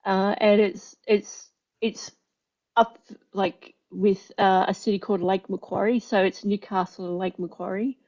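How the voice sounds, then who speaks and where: An Australian woman hosting a casual chat, telling a story in a chatty, animated way with many ums. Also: mid-range voice, a little slow. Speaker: host, linguistics teacher. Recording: slightly dull lo-fi audio.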